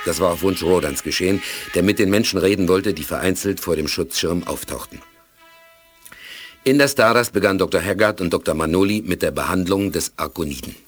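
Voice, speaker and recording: Deep male radio-play narrator over music. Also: brisk, husky. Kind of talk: scripted dramatic narration for a 1980s German radio play.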